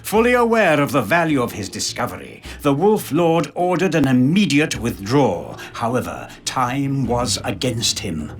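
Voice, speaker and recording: A man's voice: mid-range voice, big pitch swings, moderate pace, hardly any pauses, a little hiss or hum. A man delivering dramatic scripted narration for a video game in a solemn, portentous storytelling manner.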